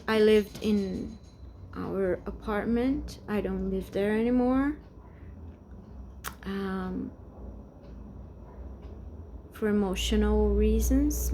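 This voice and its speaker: A Brazilian woman in her forties talks through a rambling personal vlog in English, very slowly, at a middle pitch. She hesitates often and searches for her words, with her Portuguese accent clear throughout. A faint hum of room ambience sits behind her at home. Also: dark tone. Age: 40s. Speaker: vlogger. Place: home, indoors.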